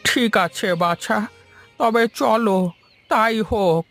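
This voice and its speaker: A man voicing a gentle, homeless old village man in a cartoon, plaintive and affectionate like an elder. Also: high-pitched, slow pace, gravelly, soft background music, heavily acted. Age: older. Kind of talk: Bengali moral-story cartoon dubbing.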